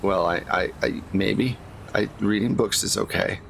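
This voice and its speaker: A man chatting casually as a tabletop game player, halting and repeating words as he goes. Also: on the low side, gravelly, a little hiss or hum.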